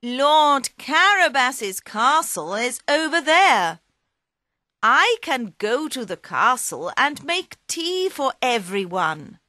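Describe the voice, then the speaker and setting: A woman voicing a clever male talking cat who coaxes and flatters in a high, excited voice. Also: slow pace, no echo, studio quality, heavily acted. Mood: sly.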